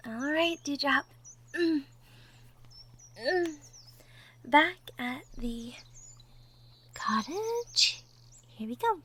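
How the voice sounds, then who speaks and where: A woman voicing a sweet, shy young cottage girl in love, gentle and stammering, in a girlfriend roleplay audio drama. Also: high voice, expressive, very slow, long pauses, exaggerated voice. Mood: gentle, concerned. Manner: stammering, gentle, breathy asides.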